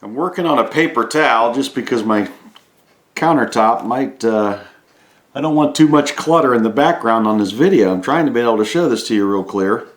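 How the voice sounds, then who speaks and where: A man giving a casual hands-on repair walkthrough, halting as he thinks aloud while he works. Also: mid-range voice, animated. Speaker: DIY repair video host. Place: indoors at a home kitchen countertop. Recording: echoey hard-surfaced room.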